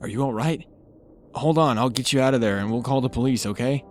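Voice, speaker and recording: Man putting on a well-dressed thirty-ish rescuer, alarmed, reassuring, raised voice, clear music behind. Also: mid-range voice, fairly quick, pitched above the performer's own voice, close to an everyday voice.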